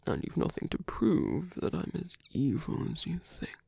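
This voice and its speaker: A man playing a seductive, calm villain leader in an ASMR roleplay, breathy and intimate as he slowly coaxes a captive hero. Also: a little high, dry recording, heavily acted. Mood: earnest, persuasive. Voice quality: breathy, husky, often whispering. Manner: slow, intimate, persuasive. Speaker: voice actor. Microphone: close, dry microphone.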